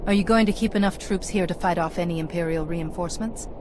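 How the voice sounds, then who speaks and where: A woman's voice: fairly low pitch, fast, close to an everyday voice. An actress playing a capable, dryly witty female commander in a video game cutscene, direct in manner, with noise behind. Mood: businesslike. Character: capable, wry female military commander hero.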